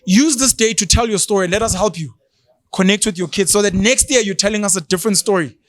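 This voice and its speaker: A man in his thirties with a South African accent, animated and mocking as he co-hosts a casual talk show, sometimes slipping into isiZulu. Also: sits high, fast talker, no room sound. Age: 30s. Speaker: podcast co-host. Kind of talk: opinionated casual chat.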